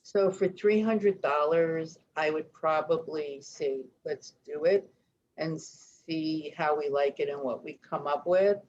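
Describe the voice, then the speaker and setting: A woman's voice: low voice, slow, a little mellow. A woman on a video call asks careful questions to make sure she understands the pricing. Her audio sounds dull, with faint room noise in the background. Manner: careful, checking details aloud. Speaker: convention planning team member.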